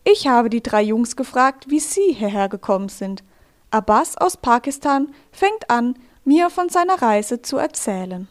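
A woman hosting a radio feature from a studio, telling the story in calm, measured, scripted narration. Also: a little high. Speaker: radio host.

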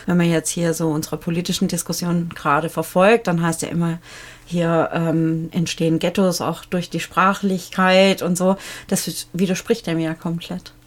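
Woman hosting a talk, low voice. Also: little pitch movement, normal speed. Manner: thinks aloud, asks follow-up questions. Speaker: discussion host. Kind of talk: moderated expert discussion.

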